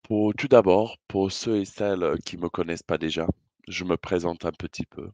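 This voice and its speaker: A man's voice: sits low, normal speed, darkish tone, no echo. A British man speaking in a second language with a British accent, giving a prepared conference talk in a hesitant, careful way. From Britain. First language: English.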